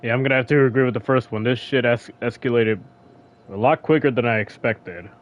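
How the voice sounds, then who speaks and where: A man's voice: medium pitch, fairly quick, dull audio. A man giving casual gameplay commentary as he plays and reacts to a game. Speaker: gamer reacting during a playthrough. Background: game music.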